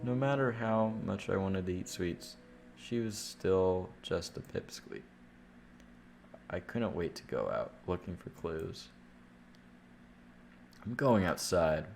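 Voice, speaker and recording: Low gravelly-voiced man streaming. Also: lively intonation, takes it slowly, long pauses, darkish tone. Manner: casual, profane asides. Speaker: game streamer. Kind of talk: livestream playthrough, reading visual novel text aloud with casual reactions. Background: game music with guitar.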